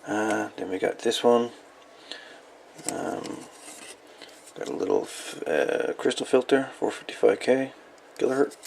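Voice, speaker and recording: A man with a very gravelly, fry-heavy voice talks casually as a hobbyist showing things off. His delivery is folksy and halting, wandering as he goes. It is a home recording with faint noise behind him. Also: on the low side, a little mellow. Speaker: radio hobbyist presenting salvaged parts. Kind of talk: casual hands-on show-and-tell.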